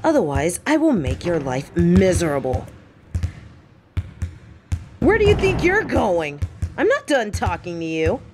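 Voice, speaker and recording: A woman playing a possessive, brash but secretly smitten bully girl in an audio roleplay, speaking straight to the listener in a bossy, halting way. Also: fairly low pitch, huge pitch swings, over music. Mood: bossy, taunting. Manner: bossy, taunting, flustered halting delivery.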